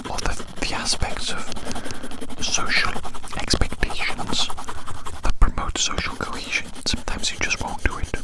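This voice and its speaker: A man speaks entirely in a breathy whisper, right up against the microphone. He rambles reflectively in ASMR style, often repeating a phrase. Soft scratchy drawing sounds accompany his voice. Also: normal speed. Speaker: ASMR video creator. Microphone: very close ASMR microphone.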